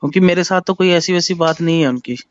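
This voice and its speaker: A man's voice: fairly high pitch, fast. A man in a serious phone conversation, asking questions politely but pressing for answers. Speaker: concerned caller asking questions. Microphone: phone call recording. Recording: dull lo-fi recorded phone call.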